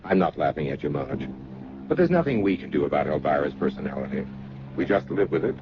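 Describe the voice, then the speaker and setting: Suave companion, very deep voice. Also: male voice, big pitch swings, fast talker. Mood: amused, reassuring. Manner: smooth-talking, wry, breezy. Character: suave, candid actor and kept companion. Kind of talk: old-time radio drama acting. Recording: muffled, noisy vintage radio recording.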